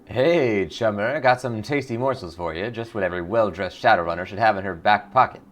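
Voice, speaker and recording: A man's voice: on the low side, fairly quick, fairly bright tone, close to an everyday voice. A man voicing a slick street dealer hawking wares in a video game. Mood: coaxing. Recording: clear background noise.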